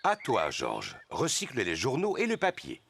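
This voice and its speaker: A man's voice: mid-range voice, wildly swooping pitch, moderate pace, vocal fry, noisy background. A man voicing a jolly, good-natured father pig in a cartoon, hearty and fatherly. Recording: cartoon music behind the voice.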